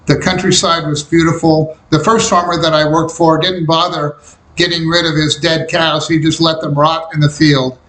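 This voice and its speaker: An older man from Kansas recounting memories of his youth in a reflective, unhurried way. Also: on the high side, little pitch movement, a bit bright. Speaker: interviewee recalling his life. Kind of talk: personal memoir narration. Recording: roomy echo.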